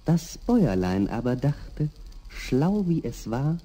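A man narrating a scripted fairy tale for a 1960s audio play in an expressive storytelling manner. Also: mid-range voice, slow pace, in a large hall.

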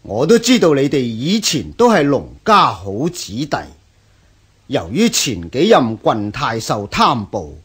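An actor playing a patient, principled prefect in a radio drama, measured and earnest, with a Hong Kong Cantonese accent. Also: male voice, medium pitch, breathy edge, heavily acted. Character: patient, principled male scholar-official, a prefect.

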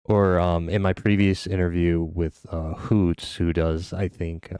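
Very deep, smooth-voiced American man, rambling podcast host, dry close sound. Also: flat delivery, measured pace, darkish tone, dry recording. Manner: rambling, many fillers. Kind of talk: casual podcast interview.

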